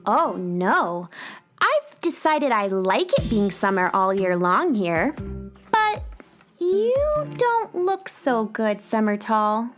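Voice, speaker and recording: A woman's voice: medium pitch, big pitch swings, slow pace, soft background music. An actress playing an earnest, kind and polite young space girl in a children's radio play, with an innocent manner. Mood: friendly.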